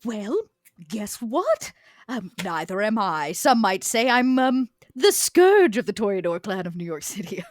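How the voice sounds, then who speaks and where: Woman as a poised, coaxing vampire, lively intonation. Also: medium pitch, a little slow, no echo, studio quality. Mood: wry, assertive. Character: poised, probing, socially outcast female Toreador vampire. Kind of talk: improvised in-character roleplay.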